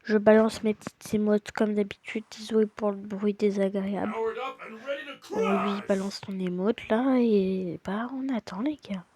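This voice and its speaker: A woman's voice: medium pitch, steady tone, moderate pace, slight background noise. A woman with a slight cold giving a casual, hesitant voice-over of her gameplay, full of fillers and restarts. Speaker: gaming channel host. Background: loud game music.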